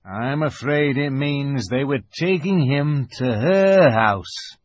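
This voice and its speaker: A man voicing a grave, kindly old rustic beaver in a storybook, speaking slowly and emphatically in a folksy, knowing way. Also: mid-range voice, expressive, lo-fi sound, pitched above the performer's own voice. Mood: gloomy. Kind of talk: dramatized storybook reading.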